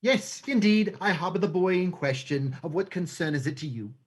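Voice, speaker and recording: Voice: medium pitch, animated, moderate pace, husky. An actor playing a sly, menacingly courteous male crime boss in a stage play reading, theatrical and mock-polite. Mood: guarded.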